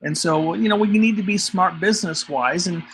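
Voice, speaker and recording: Male caller, bright tense tone, firm and critical, faint hiss. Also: sits high, normal speed. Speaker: community member. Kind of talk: casual online discussion.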